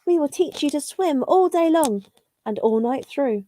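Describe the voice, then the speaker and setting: A woman's voice: sits high, animated, measured pace, warm tone, voice pushed up. A woman voicing cheerful, welcoming pond fish in a children's storybook, with sing-song invitations.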